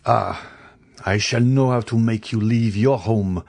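A man's voice: on the low side, measured pace, vocal fry, darkish tone. A man reading the part of an angry young viscount who trembles with fury. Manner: furious, tense, accusing.

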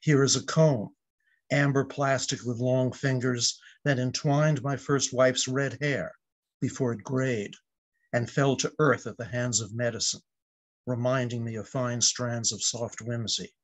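An older man in about his seventies reading his poem aloud over a video call, with measured, reflective phrasing. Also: mid-range voice, fairly even intonation. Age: older, about 70s. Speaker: poet at an open mic. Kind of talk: poetry reading.

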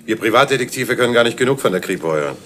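A sly bossy private eye, faint hiss, old radio play. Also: male voice, medium pitch, animated, very fast, bright, forceful tone. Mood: scheming. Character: sly male private detective, scheming. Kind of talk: 1970s German radio crime play.

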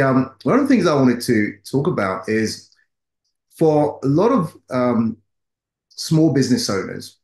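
A middle-aged man hosting an interview, putting questions deliberately and repeating phrases for emphasis. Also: on the low side, darkish tone. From United Kingdom, resident. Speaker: show host, interviewer. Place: small home office, indoors. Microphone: video call. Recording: roomy, echoing video-call audio.

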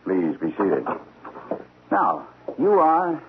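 An actor playing a deceitful, self-important stockbroker with debonair airs in a radio drama. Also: male voice, on the low side, wildly swooping pitch, takes it slowly, heavily acted. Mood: pompous, suave. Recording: tinny, noisy old radio recording.

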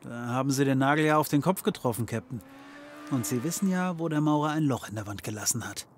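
An actor playing a cynical ex-cop private detective in a comedy audio drama, mocking and theatrical. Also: male voice, medium pitch, fast talker, slight background noise, lightly acted. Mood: bitter sarcasm.

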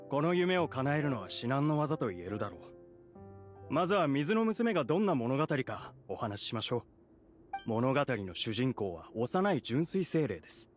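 A man playing a polite, earnest young theater troupe member in a Japanese anime game, speaking courteously. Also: medium pitch. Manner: courteous, earnest, clearly phrased.

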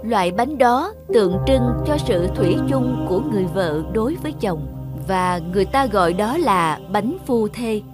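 A woman's voice: medium pitch, expressive, moderate pace. A woman narrating a children's fairy tale from a script. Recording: music behind the voice. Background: music.

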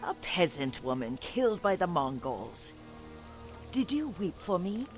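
Slow, venomous older villainess. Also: female voice, on the low side, very animated, faint music behind. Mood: cold spite. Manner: deliberate, venomous, emphatic. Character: bitter, resentful older sister, vengeful villainess. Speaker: video game villain. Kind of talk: dramatic game voice acting.

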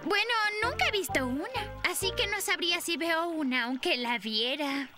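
A very high girl dinosaur voice with a Latin American accent, curious and friendly. She talks in an earnest, wordy way, with loud cartoon music behind. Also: animated, normal speed. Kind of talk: Spanish cartoon dub acting.

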